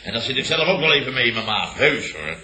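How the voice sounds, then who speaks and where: An actor playing a pompous, aggrieved boss with a Netherlands Dutch accent, blustering and stammering in a radio comedy from around 1970. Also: male voice, medium pitch, sing-song, quick pace, echoey room, a crowd in the background, lightly acted, poor audio. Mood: defensive, exasperated. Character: blustering, self-important, aggrieved male boss.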